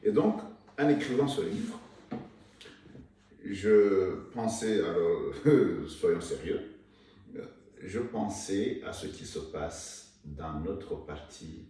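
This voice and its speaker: Slow deep-voiced man, echoey room. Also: expressive, warm tone. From Rwanda. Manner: halting, many restarts and fillers, laughs easily. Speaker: author being interviewed. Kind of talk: hesitant interview talk. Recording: echoey room, mic far off, faint noise.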